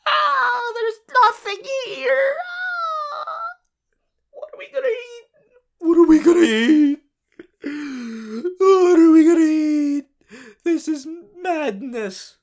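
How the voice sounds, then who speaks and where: Guy doing a whiny goofy teen anime boyfriend, slow draggy rambling, voice goes all over the place, roleplay audio. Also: very high-pitched, expressive, creaky, heavily acted. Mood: frantic wailing. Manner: drawn-out, hesitant, rambling, whiny. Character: hungry teenage anime boy, goofy, whiny, clingy boyfriend. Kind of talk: improvised audio roleplay addressed to the listener.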